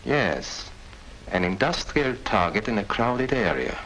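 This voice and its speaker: A suave wartime German major conducting an interrogation. His speech is courteous and condescending, swinging freely in pitch. The sound is an old 1940s film track with clear background noise. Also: big pitch swings, a little slow, a bit bright, poor audio. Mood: shrewd, explaining.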